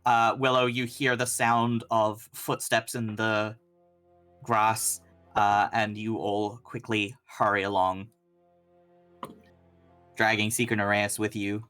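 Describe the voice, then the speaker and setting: A game master narrating and making rulings in a casual, halting way during a tabletop game. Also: mid-range voice, flat delivery, a bit bright, soft background music.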